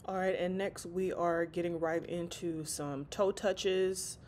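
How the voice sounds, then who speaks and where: A woman with a slightly low voice talks viewers through a workout in an easygoing, chatty way. She sounds stuffy and congested, as if she has a cold. She fills her talk with casual asides. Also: fairly even intonation, slow pace, a little mellow, slight background noise. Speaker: fitness vlogger.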